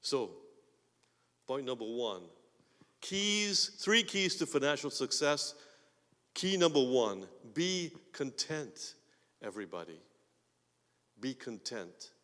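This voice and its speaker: A man with a Guyanese accent preaching a sermon as pastor, swinging between quiet asides and loud emphatic bursts. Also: medium pitch, very animated, takes it slowly, pauses often. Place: church sanctuary. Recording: long hall reverb.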